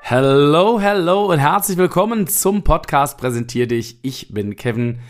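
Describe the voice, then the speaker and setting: A young man hosting a solo podcast, talking straight to the listener in an energetic, motivational way while still recovering from an illness. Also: on the high side, unhurried, soft background music. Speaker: podcast host.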